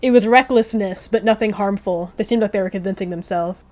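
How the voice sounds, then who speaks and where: A woman's voice: medium pitch, a little fast, a little mellow. A woman reading a roleplay story aloud from a game, casual, slipping between storybook narration and offhand asides. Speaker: video creator and roleplayer. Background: background music.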